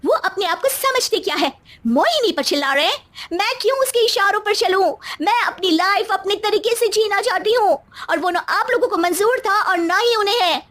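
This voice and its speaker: Woman acting a bratty, high-pitched young bride. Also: lively intonation, moderate pace, hardly any pauses, fairly bright tone, no echo. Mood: indignant. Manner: sassy, mixes English into Hindi. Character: bratty, westernised young bride, defiant and flippant. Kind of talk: cartoon moral-story dialogue.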